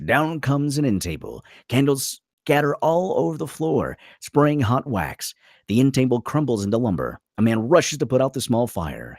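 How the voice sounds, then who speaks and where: A man's voice: fairly low pitch, darkish tone, slightly rough, dry recording, clean studio sound. A man narrating a screenplay's stage directions at a table read, theatrical and punchy in short clipped phrases.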